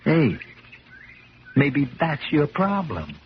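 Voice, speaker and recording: An actor playing an easygoing, kindhearted police lieutenant in a radio drama, with a folksy, wisecracking way of talking. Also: male voice, a little high, huge pitch swings, drawn-out words, full character voice. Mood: wry, teasing. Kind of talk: 1970s radio drama acting. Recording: dull, noisy 1970s radio broadcast recording.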